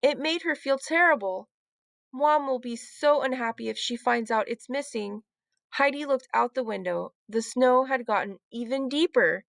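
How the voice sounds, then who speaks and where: A woman reads a children's story aloud as narrator. Her voice is notably high, with a creaky edge. The recording is close and dry, with little room sound. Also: moderate pace, no room sound.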